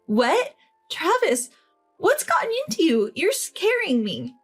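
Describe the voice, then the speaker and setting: A woman's voice: very high voice, huge pitch swings, takes it slowly, soft background music, voice pushed up, full character voice. A woman voicing a spoiled, entitled young bride in a dramatized family story, whiny, indignant and pleading. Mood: whiny, alarmed.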